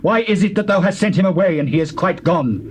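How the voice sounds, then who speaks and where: Casting: indignant biblical general, accusing theatrical delivery, higher-than-average voice, slight cassette noise. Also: male voice, expressive, fast. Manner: accusing, declamatory. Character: Joab, indignant, suspicious male military commander. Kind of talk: dramatized Bible reading. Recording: noisy old cassette tape transfer.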